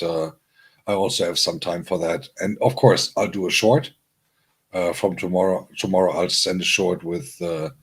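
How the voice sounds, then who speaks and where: A man with a very low, husky voice chats loosely with his stream viewers. He talks in halting, rambling bursts, full of ums and restarts, as he runs through the week's plans. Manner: friendly, announcing upcoming streams to viewers. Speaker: livestream host and DJ. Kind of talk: casual livestream chat.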